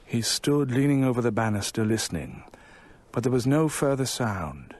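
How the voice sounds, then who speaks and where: An actor playing a measured, ominous narrator with an English accent and a husky voice, telling a ghost story in a radio drama. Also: male voice, mid-range voice, big pitch swings, darkish tone. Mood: quietly ominous.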